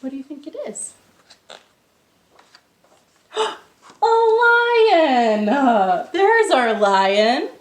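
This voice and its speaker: A woman hosting a storytime for young children, reading aloud expressively with drawn-out phrases and questions to her listeners, recorded indoors at home. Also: medium pitch. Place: indoors, in a living room. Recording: roomy, echoey home room sound.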